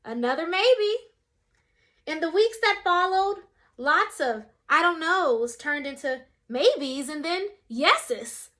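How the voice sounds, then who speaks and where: A woman's voice: extremely high pitch, slow pace. A young adult woman reading a children's picture book aloud, expressively changing her voice for the characters. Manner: expressive, shifts voice for quoted characters.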